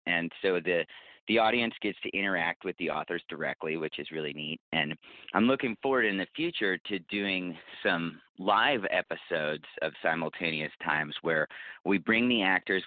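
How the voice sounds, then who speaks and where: A man's voice: sits low, no echo. A man being interviewed in a casual conversation, talking earnestly and with enthusiasm about the work, over a phone call. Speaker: podcast guest, bookseller and podcast producer. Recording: roomless tinny phone line.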